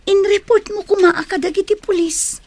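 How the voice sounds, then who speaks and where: An actress with an Ilocano accent playing the hero's concerned older sister in a radio drama, exclaiming loudly. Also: female voice, very high voice, steady tone, moderate pace. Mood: alarmed.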